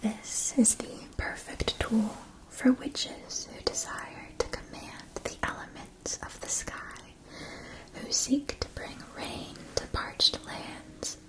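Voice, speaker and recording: Whispering, unhurried woman as a magic wand shopkeeper. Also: on the high side, steady tone, low-quality recording. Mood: soft, attentive. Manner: slow, soothing, careful enunciation. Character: gentle, attentive female magic wand shopkeeper. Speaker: ASMR performer. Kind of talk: whispered ASMR fantasy roleplay.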